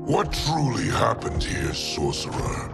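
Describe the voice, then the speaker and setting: Slow, stern military commander. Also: male voice, on the low side, sing-song, gravelly, over loud music, exaggerated voice. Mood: demanding. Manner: formal, commanding. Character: stern, imperious military commander, hawkish and grave. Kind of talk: cinematic video game acting.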